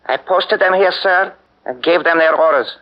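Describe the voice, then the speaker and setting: An actor playing a stern army lieutenant in a 1940s radio play. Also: male voice, on the high side, normal speed, natural voice. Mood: alarmed. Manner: clipped commanding delivery. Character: stern male Japanese army lieutenant giving orders. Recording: muffled 1940s radio broadcast.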